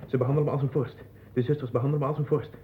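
A man's voice: mid-range voice, steady tone, rapid-fire, noisy background, lightly acted. An actor playing an agitated, childlike man in an institution in a 1960s radio drama, insistent and abrupt. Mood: boastful. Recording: muffled, phone-like 1960s radio recording.

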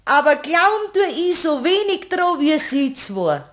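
A woman, playing a brisk, knowing housekeeper in a radio play. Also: high voice, very animated, noisy background. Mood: amused, knowing. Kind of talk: German radio play dialogue.

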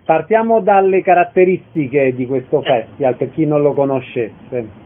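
Higher-pitched male interviewer, phone-like sound. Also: normal speed. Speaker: radio interviewer. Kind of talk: interview introduction. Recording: thin telephone-like radio sound.

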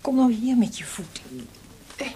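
Woman playing higher-voiced, fussing, tender wife. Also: a little slow, dark tone, a little hiss or hum, close to an everyday voice. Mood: scolding, fussing. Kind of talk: Dutch radio drama acting.

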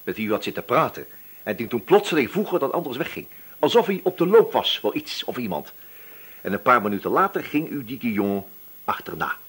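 A man's voice: medium pitch, sing-song, a little fast, fairly bright tone, slightly rough. A man playing a wily, relentless police inspector in a radio drama, feigning casual chat and probing until he accuses. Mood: accusing, firm. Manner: probing, hesitant feints turning accusatory.

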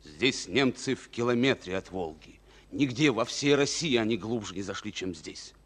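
An actor playing a resolute, earnest Soviet army captain in a declamatory, emphatic mid-century radio drama style. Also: male voice, lowish voice, lively intonation, moderate pace. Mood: grave, intense. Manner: declamatory, emphatic, swinging between hushed and ringing.

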